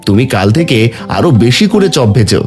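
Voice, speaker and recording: Lively village father voiced by a man. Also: medium pitch, normal speed, faint music behind, close to an everyday voice. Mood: contented. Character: contented village father, fisherman and snack-seller. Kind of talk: cartoon story voice acting.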